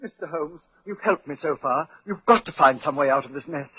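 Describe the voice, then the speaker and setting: An actor playing an agitated English lord with a nervous, fretful manner in 1940s radio style. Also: male voice, fairly high pitch, fairly quick, husky, no room sound. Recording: muffled 1940s radio recording.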